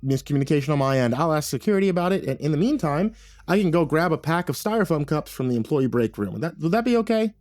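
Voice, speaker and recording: A man's voice: mid-range voice, sing-song, fast talker, mellow, no room sound. A man voicing a flustered, apologetic male worker in an audiobook, hesitant and eager to please. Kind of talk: audiobook character voice.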